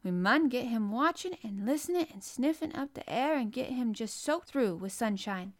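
A woman voicing a cheerful country boy with a broad Yorkshire accent and a slow rustic drawl. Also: fairly high pitch, animated, pitched above the performer's own voice, lightly acted. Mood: enthusiastic.

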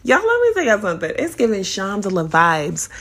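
A young adult woman hosting a casual solo podcast, her voice a little raspy as it recovers from illness, talking in an animated, playful and profane way. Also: mid-range voice, brisk. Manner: animated, profane, playful, spiritual self-help talk. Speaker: podcast host.

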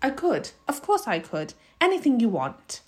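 A woman's voice: high-pitched, huge pitch swings, moderate pace, pitched above the performer's own voice. A woman voicing an earnest, nervous young boy in a storybook, helpful and urgent in manner. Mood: eager.

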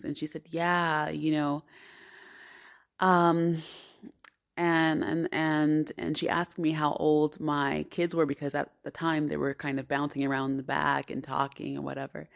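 A woman's voice: sits low, flat delivery, measured pace, vocal fry. A woman in her thirties, a podcast host, telling a personal story in an earnest, chatty way. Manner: chatty and earnest, with many fillers and restarts.

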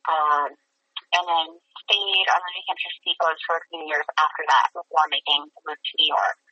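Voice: medium pitch, fairly even intonation, normal speed, pushed and bright, breathy edge, no echo, far from the mic. A young musical theater actor from Massachusetts, answering interview questions in an earnest, chatty way with frequent fillers. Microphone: phone or call line. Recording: lo-fi call audio.

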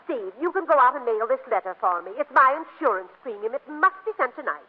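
An actress playing a gushing, oblivious, overbearing older aunt in a 1940s radio comedy, effusive and fussy. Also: female voice, high voice, lively intonation, fast. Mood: blithely demanding. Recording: tinny old radio recording.

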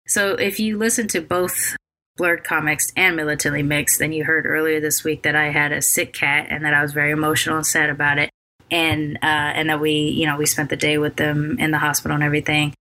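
A woman hosting her podcast late at night, tired and with a scratchy voice left over from a cold, talking in a halting way with many ums and restarts. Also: sits low, flat delivery, pushed and bright. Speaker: podcast host. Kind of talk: casual solo podcast intro.

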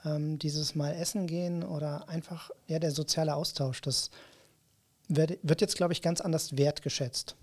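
A man, a media coach, answering interview questions in a calm, measured way. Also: fairly high pitch. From Germany.

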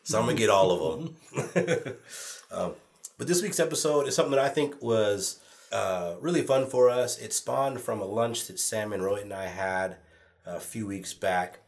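A man's voice: on the low side, moderate pace, creaky. A man co-hosting a casual podcast, nasal from a cold, teasing his friends and rambling a bit. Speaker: podcast co-host.

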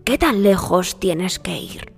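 A sly male wolf from a children's storybook, acted out by a woman, speaks very slowly and draws out each coaxing word. The politeness is false and sweet-talking. Long reverb surrounds the voice, with music behind it. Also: fairly low pitch, animated, vocal fry, husky, exaggerated voice. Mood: sly, polite.

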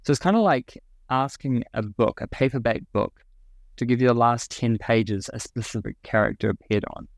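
A man's voice: mid-range voice, moderate pace, gravelly, no echo. A young man with a New Zealand accent, a podcast guest explaining a product with many ums and restarts. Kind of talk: podcast interview.

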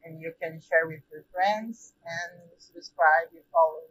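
A Brazilian podcast co-host talks in a casual, slangy way. The voice is slightly rough and husky, at a medium pitch. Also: fairly even intonation, slow pace, a bit bright, muffled recording. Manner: informal, slangy.

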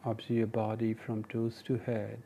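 A man with an Indian accent leading a guided meditation, giving gentle, measured instructions in short phrases with pauses. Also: fairly low pitch, completely flat delivery, gravelly, a little hiss or hum. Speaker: meditation teacher and podcast host.

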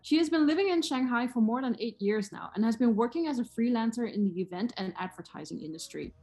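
A woman co-hosting a podcast, smoothly reading a prepared introduction of the guest. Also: a little high, expressive, quick pace, warm tone. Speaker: podcast co-host.

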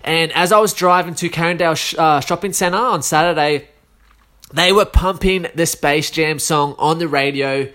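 Australian guy, 30s, under the weather, loose slangy solo chat, voice sits a bit high. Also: normal speed, sharp tone. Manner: rambling, slangy, many fillers and restarts. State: a bit sick, coughing.

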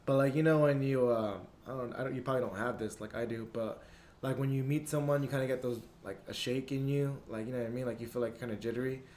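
A young man hosts a podcast in an easygoing, slangy way, leaning on "like" and "you know what I mean". His voice sits in an ordinary middle pitch. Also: fairly even intonation, fast talker, a little mellow, slight background noise. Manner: laid-back slangy talk, lots of "like" and "you know". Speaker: podcast host. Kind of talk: casual podcast chat.

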